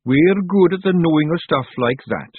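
Tiny male fairy, Scottish accent, high voice, nervous, dim, eager, storybook character, narrow phone-like sound. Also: moderate pace, husky, no room sound. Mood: reassuring. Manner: nervous, evasive, excitable. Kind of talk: audiobook character voice.

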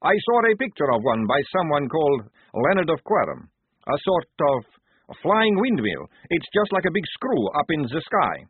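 A man's voice: fairly high pitch, sing-song, normal speed, husky, voice pushed up. A man voicing a wry, laconic army corporal who speaks in clipped military jargon. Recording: tinny phone-like dry recording.

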